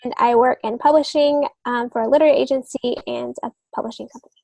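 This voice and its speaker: Woman podcast co-host, voice on the high side, explains casually, dry close audio. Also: fairly even intonation, fast talker, vocal fry, warm tone, dry recording, poor audio. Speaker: podcast co-host, young adult author.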